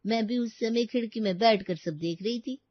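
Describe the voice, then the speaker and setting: A woman's voice: on the high side, normal speed, no room sound, muffled recording, lightly acted. A woman voicing a watchful, self-satisfied older neighbour with a knowing, chatty manner in a cartoon story. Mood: smug.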